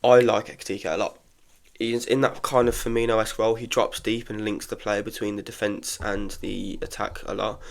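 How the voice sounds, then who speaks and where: A man's voice: on the low side, little pitch movement, moderate pace, gravelly. A congested young man giving frank, chatty opinions in a casual solo football review, full of restarts. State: congested from illness. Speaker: football podcaster.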